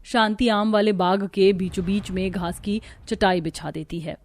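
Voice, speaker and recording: Female narrator, medium pitch, scripted cartoon family storytelling. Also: unhurried.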